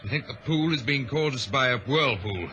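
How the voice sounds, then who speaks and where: A man playing a brisk, dutiful ship's chief officer in a vintage radio drama, crisp and urgent. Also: mid-range voice, lively intonation, bright, forceful tone, muffled recording. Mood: alarmed. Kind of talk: old radio drama acting. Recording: noisy vintage radio recording.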